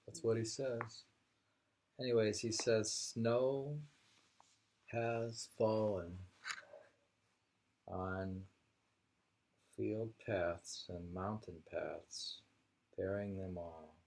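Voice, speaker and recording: Slow, gravelly man reading poetry. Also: lowish voice, steady tone, a little mellow, a little hiss or hum. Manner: deliberate, repeats lines and muses on them. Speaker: poetry reader and commentator. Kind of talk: reading poems aloud with casual discussion.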